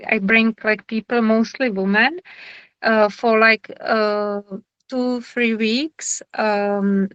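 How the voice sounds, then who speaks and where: A woman with a Czech accent speaks slowly and a little high, pausing often as she searches for words. Her tone is friendly and informal, like a therapist answering questions after an online lecture. The video-call sound is dry and close. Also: fairly bright tone, clear, resonant tone, dry recording, dull audio. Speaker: somatic therapist giving a lecture.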